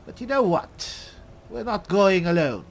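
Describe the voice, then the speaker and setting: Man playing a slow-spoken, wry high elf spellsword. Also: big pitch swings, vocal fry, a little hiss or hum, heavily acted. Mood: wry rallying. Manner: dry, sardonic, halting, deliberate delivery. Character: male high elf spellsword mastermind, wry, reluctant leader. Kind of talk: in-character video game roleplay.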